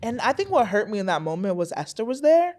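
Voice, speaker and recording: A woman in her twenties, a guest in a podcast studio, telling a personal story in an animated way and acting out what others said. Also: mid-range voice, fast talker. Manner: animated, acts out quotes, frequent "like" fillers.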